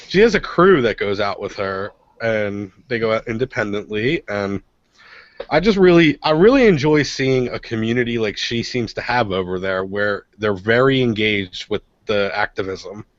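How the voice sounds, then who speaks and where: A man's voice: mid-range voice, dry recording. A man hosting a live radio show in a casual style over lo-fi online audio. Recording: dull, dry lo-fi online audio.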